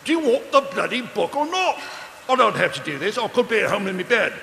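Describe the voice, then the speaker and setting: Shrill, exasperated cult member. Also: male voice, big pitch swings, fast, creaky, sharp tone, heavily acted. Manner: irritable, complaining. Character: exasperated, whiny small-time thief in a secret cult. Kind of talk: live comic radio-theatre acting. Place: stage in a hall. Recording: echoey hissy live stage recording.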